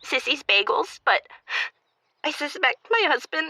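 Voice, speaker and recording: Bright, gossipy middle-aged caller. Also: female voice, sits high, big pitch swings, a little slow, no room sound, full character voice. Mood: chatty, suspicious. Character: chatty married bagel-shop owner, mother of teens, calling in. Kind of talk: comedic audio drama acting.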